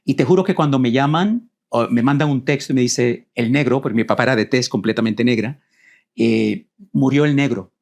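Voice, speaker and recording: Guy in his 40s, calm thoughtful storytelling, mid voice. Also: mid-range voice, brisk. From Puerto Rico. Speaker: guest, co-author. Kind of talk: personal storytelling in conversation.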